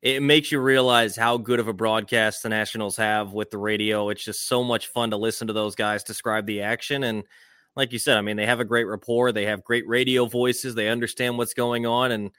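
A man's voice: fairly low pitch, fairly even intonation, a little fast, a bit bright, smooth, dry recording, clean studio sound. A man co-hosting a sports podcast, chatting casually and conversationally.

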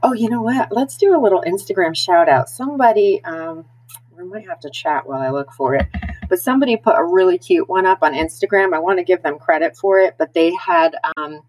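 A woman's voice: on the low side, a little fast, a little mellow, no room sound, low-quality recording. American woman co-hosting, close dry sound. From New Jersey, United States. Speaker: podcast co-host, dental hygienist. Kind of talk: casual podcast chat.

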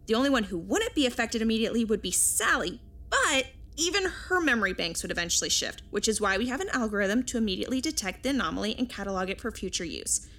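A woman's voice: fairly high pitch, big pitch swings, quick pace, fairly bright tone. A woman playing an earnest, nerdy time-travel scientist in an audio drama, with an explanatory, technical way of talking. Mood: explaining.

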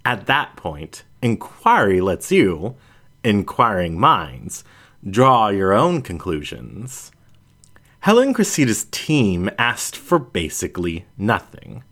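A man reads a first-person story aloud in a low voice. His intonation swings widely, and his phrasing is deliberate and emphatic, in the manner of an audiobook narrator. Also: huge pitch swings, a bit bright. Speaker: audiobook reader.

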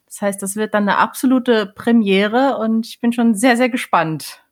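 A woman's voice: a little high, moderate pace. A woman co-hosting a podcast in casual chat, often searching for words. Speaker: podcast co-host.

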